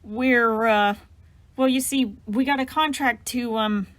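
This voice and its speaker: A woman's voice: on the high side, steady tone, slow, no echo. A woman voicing a kindly, awkward man who runs a construction crew, talking in a hesitant, folksy way.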